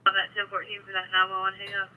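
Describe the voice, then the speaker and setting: A woman's voice: medium pitch, completely flat delivery, racing. A woman working as a police dispatcher, giving clipped codes and acknowledgements over a two-way radio. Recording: muffled police scanner radio feed.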